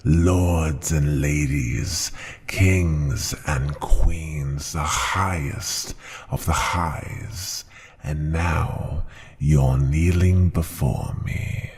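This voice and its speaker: A man's voice: a little mellow, full character voice. A man voicing an ancient, tyrannical dragon ruler who scorns humans, speaking in a pompous, menacing growl for an ASMR roleplay. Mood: haughty, menacing. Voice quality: deep gravelly growl, breathy at times. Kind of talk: ASMR roleplay, slow theatrical monologue.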